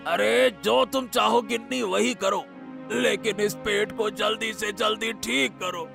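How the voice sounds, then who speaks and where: A man playing an ailing old husband in a cartoon, complaining and reluctant in a strained, creaky voice. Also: high-pitched, fairly even intonation, unhurried, music in the background, exaggerated voice. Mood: grumbling, pleading. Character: ailing old husband, whiny, grumbling about bitter medicine. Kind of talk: Hindi cartoon dialogue.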